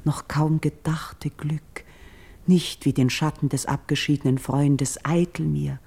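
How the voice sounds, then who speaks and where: A noble priestess in a classical radio play. Her voice is low and mellow, with a breathy husk. She declaims verse solemnly and pleads with tender gravity. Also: steady tone, heavily acted. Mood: solemn, prayerful. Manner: solemn, elevated, measured declamation with breathy hushed phrases. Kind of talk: classical German verse radio drama acting.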